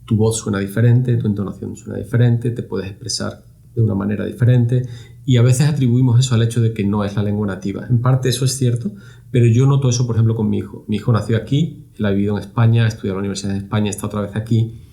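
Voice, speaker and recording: A middle-aged man from Spain answers interview questions at a thoughtful pace. His voice is low and gravelly, with a mellow, dark tone. He often circles back and repeats words while he works out his phrasing. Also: steady tone, fast talker. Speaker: university lab director, guest.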